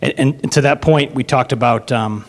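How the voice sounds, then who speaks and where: A man's voice: mid-range voice, moderate pace. A man making an earnest point from the audience at a town meeting, often repeating words as he thinks. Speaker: audience member. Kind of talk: public comment at a town meeting. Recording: hall reverb in an auditorium.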